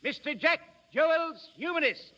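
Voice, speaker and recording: A man playing a brisk, courteous music-hall memory performer in 1930s radio acting style, rattling off facts in formal stage patter. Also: very high-pitched, bright, forceful tone, full character voice. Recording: muffled 1930s radio broadcast, noisy crowd.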